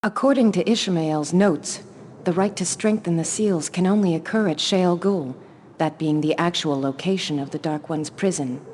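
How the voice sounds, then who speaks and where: A woman's voice: lowish voice, moderate pace, a little hiss or hum, lightly acted. A woman voicing a solemn, determined female leader, narrating a game cutscene in a grave, formal manner. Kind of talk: dramatic scripted game narration. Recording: loud music under the voice. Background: cinematic game music.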